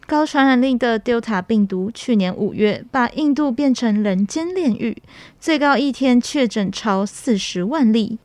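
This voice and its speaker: A woman's voice: medium pitch, a little mellow, no room sound. A young adult woman with a Taiwanese Mandarin accent reading scripted student campus news.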